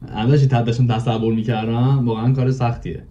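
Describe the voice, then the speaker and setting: A man's voice: medium pitch, level tone, mellow. A man chatting casually on a video call, repeating phrases for emphasis. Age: 20s. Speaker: podcast guest. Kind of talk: casual chat. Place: home room with bookshelf and curtains. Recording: muffled, dull video-call sound.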